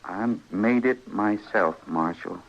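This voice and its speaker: An actor playing a strange, meek little drifter in a radio Western, with a halting, polite, folksy American Western drawl. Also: male voice, on the low side, creaky. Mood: meek, earnest. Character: strange, meek little drifter obsessed with his hanging rope. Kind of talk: old-time radio Western acting. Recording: muffled old radio recording with hiss.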